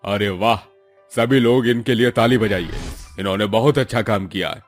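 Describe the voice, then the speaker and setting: A man's voice: lowish voice, moderate pace, lightly acted. A man acting a treacherous, greedy boss in a cartoon story, with music behind him. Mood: false praise. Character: greedy, treacherous male boss, gloating villain. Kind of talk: Hindi cartoon villain acting.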